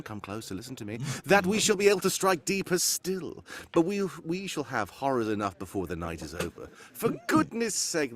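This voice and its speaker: An actor playing a confident, commanding detective in a comic live radio mystery, with theatrical, self-assured delivery. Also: male voice, medium pitch, fairly bright tone. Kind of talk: live old-time radio mystery acting, comedic.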